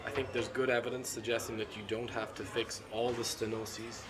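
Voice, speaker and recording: A middle-aged man, a cardiologist being interviewed at a conference, talks in a measured, analytical way with frequent ums and restarts. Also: medium pitch, little pitch movement, a loud crowd. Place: seated at a conference booth indoors. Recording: constant conference hall ambience.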